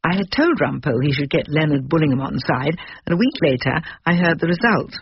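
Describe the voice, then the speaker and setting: An actress playing a haughty, bossy older English wife of a barrister in a radio drama, speaking precisely. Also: female voice, sits low, animated, fairly quick, husky, heavily acted. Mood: self-assured, superior. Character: imperious older barrister's wife, sharp and self-assured. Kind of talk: radio drama dialogue and narration. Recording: dull lo-fi radio recording with hiss.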